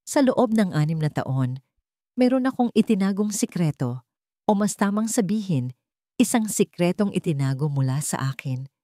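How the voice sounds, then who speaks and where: A woman with a Filipino accent voicing a determined male chief executive with calm, deliberate weight in an audio storybook drama. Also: lowish voice, no echo, studio quality, lightly acted. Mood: grave, accusing.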